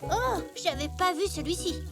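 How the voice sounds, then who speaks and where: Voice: very high-pitched, lively intonation, normal speed, gravelly, exaggerated voice. A performer voicing a cheerful, eager young boy zebra in a French children's cartoon. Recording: music behind the voice.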